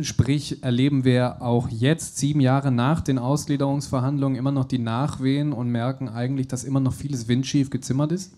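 A man's voice: mid-range voice, dark tone. A man co-hosting a live football panel, cutting in with curious follow-up questions. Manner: inquisitive, interjecting. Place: pub stage before a live audience.

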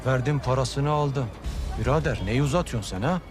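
Man acting a cocky, evasive guy, mid-pitched voice, defensive, drama music behind. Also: normal speed, close to an everyday voice. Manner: casual and evasive, turning defensive.

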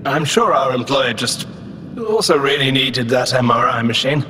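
A man's voice: mid-range voice, moderate pace, pushed and bright, slight background noise, natural voice. A man playing a dry, sardonic, weary squad member in an audio drama.

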